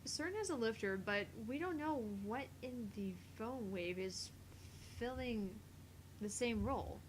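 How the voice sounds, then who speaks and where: A woman's voice: a little high, lively intonation, a little slow, a little hiss or hum, rough recording. A woman doing an acted reading of dialogue from a visual novel game. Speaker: voice reader in a visual novel playthrough.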